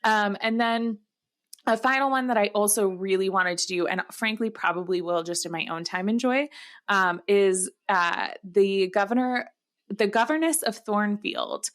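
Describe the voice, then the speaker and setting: A woman speaks as one of the hosts of a book podcast. Her pitch sits in the middle range for a woman. The delivery is casual, unscripted conversation, like talking with a co-host. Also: moderate pace, a bit bright.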